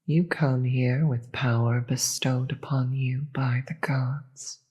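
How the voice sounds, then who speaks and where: A performer voicing a weary, regal demon queen with a husky voice and formal, theatrical manner in a scripted audio roleplay. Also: mid-range voice, flat delivery, darkish tone. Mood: weary, bitter. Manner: theatrical, formal, slow deliberate delivery.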